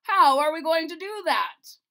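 A woman voicing a hot-tempered, worried storybook king in a loud, commanding, exasperated way. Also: very high voice, sing-song, measured pace, pitched above the performer's own voice, heavily acted.

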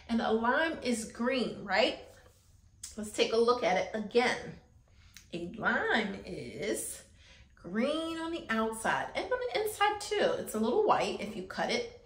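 A woman in her thirties teaching young children as a preschool teacher, encouraging them and often checking in with questions. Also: on the high side. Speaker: preschool teacher hosting an art lesson. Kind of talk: instructional talk to young children. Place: small indoor classroom-style room. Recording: echoey indoor room.

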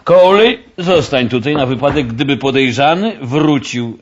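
Brusque police inspector, lively slow delivery. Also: male voice, medium pitch, highs cut off. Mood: smug, confident. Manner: commanding, condescending, drawn-out emphatic delivery. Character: brusque, self-assured male police inspector. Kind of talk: radio play acting.

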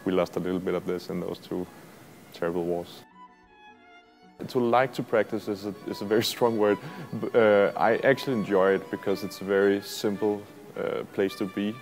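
A Danish man in his thirties, a violist, answering interview questions in a thoughtful, unhurried way. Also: low voice, darkish tone. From Denmark. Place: museum gallery.

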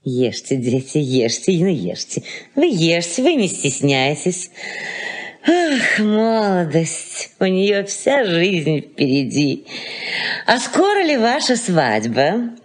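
An actress playing a bossy, nagging elderly aunt in a radio play, with a theatrical, scolding manner. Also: female voice, on the low side, sing-song, takes it slowly, full character voice. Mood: fussy, sighing. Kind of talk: Soviet radio play acting.

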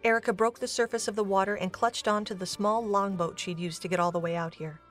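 A woman's voice: mid-range voice, steady tone, fast talker. Woman narrating a story over music.

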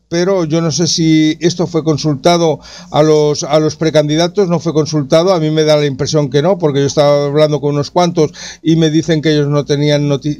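A man's voice: fairly high pitch, a little mellow, clean tone. A man from Spain with a Spanish accent, hosting a sports talk radio show with many hesitations and restarts. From Catalonia, Spain.

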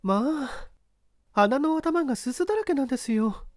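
A man's voice: extremely high pitch, expressive, normal speed, dry recording, studio quality, voice pushed up. A man voicing a chatty, coquettish wife in a storybook reading, with polite, gushing feminine speech. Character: coquettish, chatty wife of a railway section chief.

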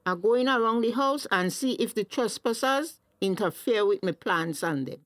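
A woman's voice: mid-range voice, expressive, moderate pace, close to an everyday voice. An actress with a Tobagonian accent playing an anxious, fussing middle-aged mother in a radio drama.

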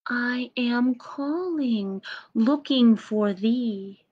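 A woman voicing a gentle, anxious little shepherdess in a storybook, calling out in a tender, drawn-out lilt. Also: a little high, lo-fi sound. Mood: plaintive singing. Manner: lilting singsong, drawn-out calls.

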